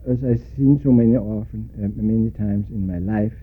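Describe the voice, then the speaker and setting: An older man from Denmark with a Danish accent, recalling his life in an oral history interview, pausing often and spelling out names letter by letter. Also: fairly low pitch. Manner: slow, spells out names letter by letter, many hesitations. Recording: tinny lo-fi recording with faint hiss.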